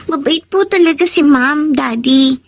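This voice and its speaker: A woman's voice: high-pitched, measured pace. An actress playing a sweet, polite little schoolgirl in a radio drama. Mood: sweet, reassuring.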